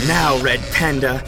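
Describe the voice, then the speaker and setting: A man's voice: medium pitch, vocal fry, low-quality recording. An actor playing a cruel supervillain in a pulp radio drama, drawling theatrically as he savours his menace. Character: sneering male electric supervillain, savouring cruelty.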